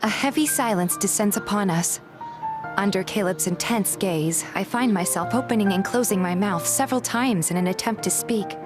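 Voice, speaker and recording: A woman's voice: medium pitch, moderate pace, close to an everyday voice. Actress as suspicious, spirited young female lead, first-person narration and dialogue, mid-range voice over music. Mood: hesitant narration. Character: feisty, suspicious young woman narrating her own story. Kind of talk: scripted first-person narration and game dialogue, fan dub.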